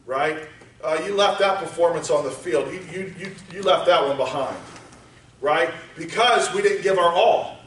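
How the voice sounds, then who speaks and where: A man preaching a sermon, moving from quiet teaching up to shouted exhortation. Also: fairly high pitch, normal speed, slight background noise. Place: church sanctuary. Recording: echoey church hall, voice from far off.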